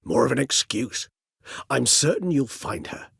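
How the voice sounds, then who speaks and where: An actor playing an urbane, erudite ancient male vampire in a video game, speaking in eloquent, formal, precise phrases with a wryly measured manner. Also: medium pitch, lively intonation, vocal fry, fairly bright tone, breathy edge, dry recording, studio quality, exaggerated voice. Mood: thoughtful, courteous. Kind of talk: video game character acting.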